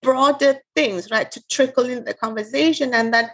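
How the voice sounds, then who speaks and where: A woman in her thirties from Malaysia, speaking as a podcast host in an animated, casual manner. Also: fairly high pitch, normal speed. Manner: animated, many fillers and restarts, frequent laughs and backchannels. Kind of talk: casual interview chat.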